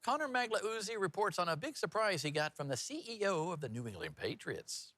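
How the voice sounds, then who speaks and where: A man's voice: high voice, big pitch swings, a little fast, heavy background noise. A man working as a TV news anchor, mixing broadcast news delivery with casual, jokey banter. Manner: jokey, punning.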